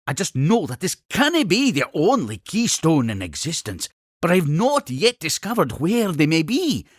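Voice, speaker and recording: A man's voice: on the high side, huge pitch swings, moderate pace, slightly rough, no echo, studio quality, full character voice. A man voicing an exuberant, eccentric Scottish explorer-inventor creature in a cartoon game, grandiose and effusive with old-fashioned phrasing. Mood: proud, showy. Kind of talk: theatrical cartoon game character dialogue.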